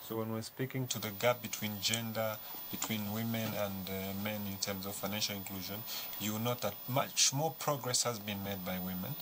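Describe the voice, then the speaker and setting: A Zambian man speaks as a panel guest in a fairly low voice. He explains in a conversational way and likes rhetorical questions. There is clear noise in the background. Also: fairly even intonation, moderate pace.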